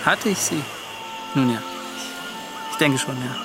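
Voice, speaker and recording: A male actor as an earnest, proud yet wistful adventurer in a radio drama. His mid-range voice is thoughtful and slightly hesitant, in standard German. Music swells loudly behind the dialogue. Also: mid-range voice, expressive, a little slow, close to an everyday voice.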